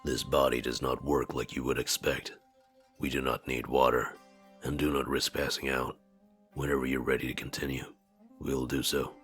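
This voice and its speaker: A man voicing a stern, aloof non-human war advisor in a visual novel game, speaking formally and with measured gravity. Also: rumbling low, little pitch movement, fairly bright tone, soft background music. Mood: detached. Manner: formal, measured, solemn speech without contractions.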